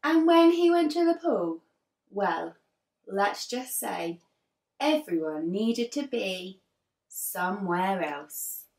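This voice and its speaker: A woman in her thirties reading a children's story aloud like an infant teacher, expressively, with dramatic pauses between phrases. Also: medium pitch, very animated, a little mellow. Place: living room sofa, indoors. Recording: roomy, distant mic, faint music behind.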